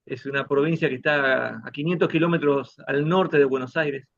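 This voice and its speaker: A man from Santa Fe, Argentina, with an Argentine accent, speaking as a musician guest in an interview, reflective and unhurried. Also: mid-range voice, steady tone, gravelly, a bit bright. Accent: Argentine Spanish. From Santa Fe province, Argentina. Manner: reflective, unhurried, tag questions. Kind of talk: relaxed interview conversation. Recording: dull lo-fi audio.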